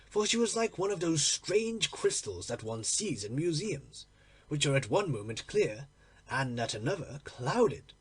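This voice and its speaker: Man, very husky, higher-pitched, dramatic audiobook narration, expressive. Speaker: audiobook reader. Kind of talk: dramatic literary story narration.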